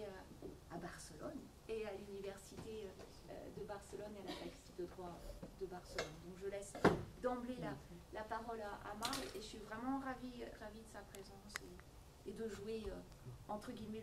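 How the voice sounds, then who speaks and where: A woman giving a formal introduction before a university lecture, speaking haltingly and filling her pauses. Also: mid-range voice. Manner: halting, with many fillers. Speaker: event organizer introducing the speaker. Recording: roomy, distant, loud hiss.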